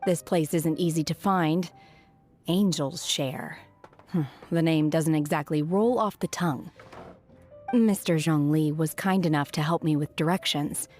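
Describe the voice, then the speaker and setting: A solemn young mystic woman, reserved and naively literal. Her voice is on the low side and very slow, with a deadpan game-dialogue delivery over faint music. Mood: calm, reserved. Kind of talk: video game voice acting.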